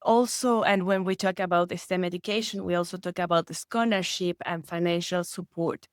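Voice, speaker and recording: A woman's voice: lowish voice, moderate pace, smooth, dry recording, studio quality. Earnest woman. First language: Spanish. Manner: earnest, with frequent restarts and rising excitement. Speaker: guest expert. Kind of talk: conversational podcast interview.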